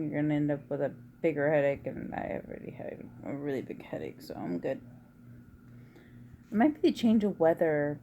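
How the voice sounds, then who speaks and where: A woman with a quiet, low voice chatting casually in a rambling home vlog. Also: slight background noise. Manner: rambling, soft-spoken, uses y'all. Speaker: vlogger. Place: at home indoors.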